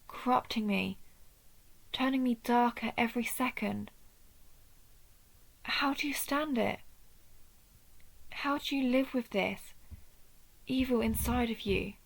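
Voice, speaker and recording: Woman acting a slow, brooding, gravelly vampire huntress. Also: on the high side, exaggerated voice, poor audio. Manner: halting, intimate, brooding delivery. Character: guilt-ridden female vampire hunter, wounded, turning dark. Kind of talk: dramatic audio roleplay spoken to one listener.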